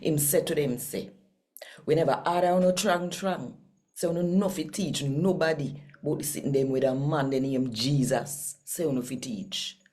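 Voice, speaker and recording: A woman with a Jamaican accent hosting and reading scripture in an expressive, deliberate storytelling manner. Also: sits low. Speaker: host and scripture reader.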